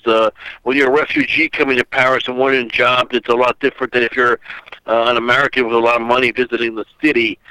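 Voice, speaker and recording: A man's voice: medium pitch, bright, forceful tone, clear, resonant tone, no echo, poor audio. An older man from Austria, a guest author on an internet call, talking in a rambling way with many fillers and restarts. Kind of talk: phone interview. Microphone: internet call audio.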